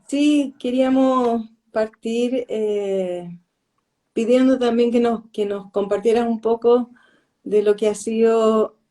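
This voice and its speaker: Mujer chilena de voz suave y oscura. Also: female voice, on the high side, steady tone, slow, warm tone. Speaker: interviewer, co-host. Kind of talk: conversational interviewing.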